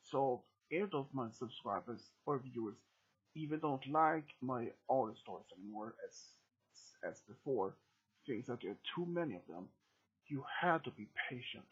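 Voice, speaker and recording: A man with a Swedish accent and a sore throat, speaking English as a second language in a hoarse, husky voice and giving a halting, casual update to his channel's viewers. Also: medium pitch, lively intonation. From Sweden. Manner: halting, non-native phrasing with many restarts. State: sore throat and cold, voice deeper than usual. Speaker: YouTube storyteller. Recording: dull lo-fi home recording.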